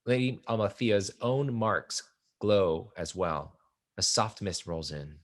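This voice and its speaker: A man's voice: on the low side, slow pace. A man narrating the stage directions of a play from a script.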